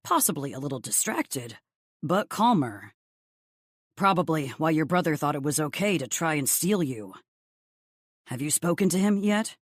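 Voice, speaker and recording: A woman's voice: low-pitched, sing-song, normal speed, no room sound, studio quality. A woman voicing a gossipy, teasing young male best friend in a romance audiobook, effusive and blunt. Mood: confiding.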